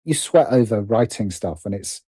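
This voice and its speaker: A man with a soft, mellow voice talks as a guest in a relaxed interview. He works through his thoughts animatedly, stopping and restarting phrases. The sound is dry, with little room around it. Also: fairly low pitch, husky, dry recording. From Britain. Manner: animated, halting, restarts and repeats phrases as he thinks aloud. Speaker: interview guest, writer and producer. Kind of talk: casual conversational interview.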